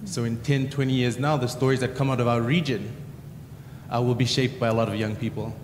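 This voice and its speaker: Male panelist, halting delivery, roomy echo, long reverb. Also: mid-range voice, fairly even intonation. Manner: hesitant, many uhs and repeated words. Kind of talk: conference panel answer. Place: large conference hall. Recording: echoey hall reverb, faint noise.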